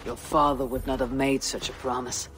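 An actress playing a stoic Viking warrior in a video game, with a measured, resolute delivery. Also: female voice, rumbling low, flat delivery, fairly bright tone, faint music behind. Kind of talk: dramatic video game cutscene acting.

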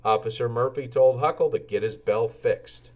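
A man narrating a children's storybook in an expressive, animated way and acting out the characters' lines. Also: mid-range voice, moderate pace. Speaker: read-aloud storyteller for children.

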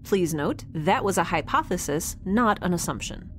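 A woman playing a young, geeky, kindhearted space scientist in an audio drama, recording an informal log in a halting, self-correcting way. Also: lowish voice, animated, a little hiss or hum, lightly acted. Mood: curious, observing.

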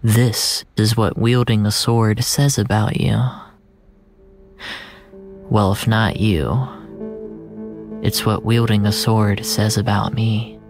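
A man narrating first-person fiction in a deliberate, brooding, dramatic way, drawing out his phrases. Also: on the low side, fairly even intonation. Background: music.

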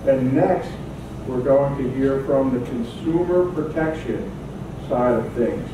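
A middle-aged man moderates a panel in a friendly, halting way, restarting his phrases. He sounds far off in a very echoey church hall. A steady hum runs underneath. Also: medium pitch, highs cut off. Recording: echoey hall, distant, humming.

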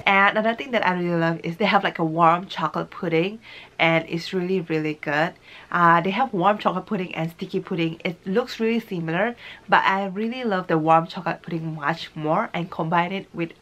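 A woman's voice: lowish voice, a little fast, fairly bright tone. A woman, giving a casual review to camera in a small room at home. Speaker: vlogger.

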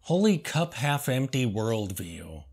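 A man with an ordinary, middle-pitched voice talks very slowly and deliberately, landing each sarcastic aside with a dry, deadpan touch. Quiet lounge music plays softly under his voice. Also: breathy edge. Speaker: host. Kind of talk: deadpan comedic commentary reading reviews.

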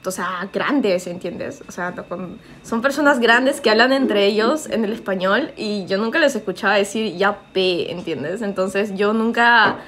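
A woman's voice: medium pitch, unhurried, hardly any pauses, a bit bright. A young woman from Japan chatting casually on a live stream in a small living room, laughing often and reading out viewer comments. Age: young adult.

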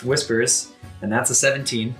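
A man's voice: medium pitch, fairly even intonation, normal speed, a bit bright, faint music behind. A man chatting casually as a player in a tabletop game, describing his character's moves.

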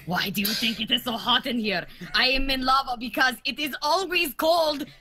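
A woman's voice: high-pitched, normal speed, pushed and bright. A woman voicing a hot-headed revolutionary gem in an improvised comic cartoon fan dub, ranting with theatrical outbursts. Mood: fanatical, indignant.

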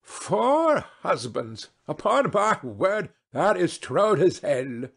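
Man voicing an arch, high-pitched elderly society hostess. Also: very animated, slow pace, creaky, a bit bright, husky, full character voice. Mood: scandalized, curious. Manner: arch, exclamatory, theatrical. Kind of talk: audiobook character voice.

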